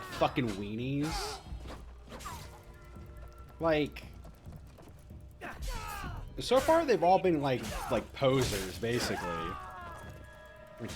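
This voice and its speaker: Give me a man commenting casually on a video game during a live stream. He rambles and swears as he chats, and he eats while he talks. His pitch rises and falls freely as he speaks. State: eating while talking.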